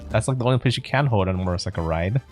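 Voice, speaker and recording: Low-key streamer woman with swinging intonation. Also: animated, racing, loud music behind the voice. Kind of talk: casual game playthrough commentary.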